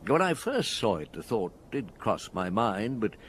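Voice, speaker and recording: An actor playing a courteous, dogged police chief inspector, measured and dry, in a radio detective drama. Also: male voice, fairly low pitch, big pitch swings, slight background noise. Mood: reflective.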